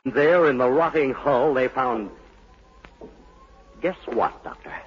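An actor playing the haunted, brooding son of a mad sea captain in a dramatic, insinuating 1940s radio style. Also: male voice, medium pitch, slow, lightly acted. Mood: brooding, secretive. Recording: muffled, hissy 1940s radio broadcast.

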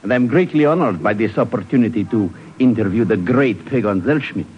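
An actor playing a sly, flattering Russian newsman, formal and insinuating, in a 1950s radio drama. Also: fairly low pitch, brisk, lightly acted. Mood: sly flattery. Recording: hissy, dull 1950s radio recording.

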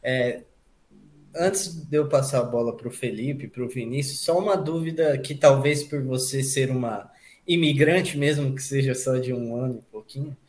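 Man, Brazilian accent, mid-pitched voice, podcast host, casual interview style, echoey room sound. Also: moderate pace, a little mellow.

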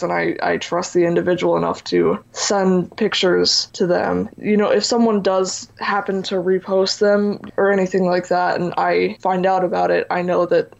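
A teenage boy who still has a high voice, talking earnestly as an interview guest and using lots of "you know" and "like". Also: creaky, dull audio. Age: teens. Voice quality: high voice for a man. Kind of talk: candid interview talk.

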